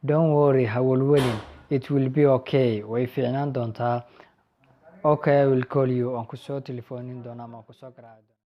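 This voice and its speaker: A young man with a Somali accent teaching an English lesson. He reads each English line slowly and a bit louder, then gives the translation in a quieter voice. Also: mid-range voice, steady tone, highs cut off. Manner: reads English lines slow and raised, then explains quietly. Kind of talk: language lesson, reading sample dialogues and translating.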